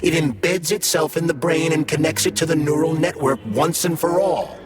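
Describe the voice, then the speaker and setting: An actor playing a male consciousness trapped in a polymer glove, speaking smoothly and gravely. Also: a little high, moderate pace. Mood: earnest. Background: music.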